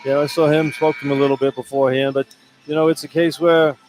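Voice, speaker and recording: A man's voice: fairly high pitch, little pitch movement, fast, darkish tone, faint music behind. An older American man giving conversational sports analysis as a radio color commentator. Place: indoor sports arena. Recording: noisy live arena broadcast. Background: arena ambience and noise.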